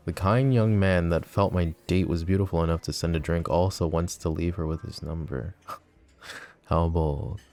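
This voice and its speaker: Casting: a man to play a scheming, possessive yandere mafia boss for ASMR roleplay; dark, relaxed low voice, smooth and teasing. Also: normal speed. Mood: cool politeness. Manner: smooth, teasing, mock-polite. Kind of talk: intimate ASMR roleplay acting.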